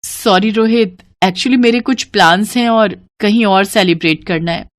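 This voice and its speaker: A woman's voice: mid-range voice, moderate pace, dry recording, lightly acted. Woman voicing a modest, principled young student. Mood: polite. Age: young adult.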